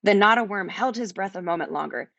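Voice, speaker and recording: Animated woman reading a children's fantasy story. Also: mid-range voice, brisk, gravelly, no room sound. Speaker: author reading own book aloud. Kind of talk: animated children's audiobook narration.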